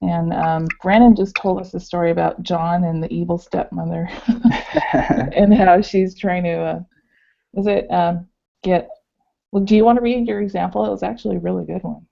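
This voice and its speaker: A young woman in a student group video call, hoarse from illness, chatty and apologetic with lots of ums. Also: fairly low pitch, fairly even intonation, normal speed, warm tone. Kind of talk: casual video call meeting. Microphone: computer mic on a video call. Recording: dull lo-fi call audio.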